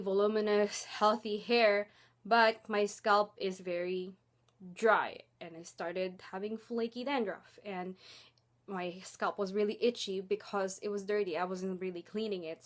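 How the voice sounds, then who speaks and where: A young woman casually talking through her hair care routine, chatty and rambling with lots of filler words. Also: mid-range voice. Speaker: beauty vlogger.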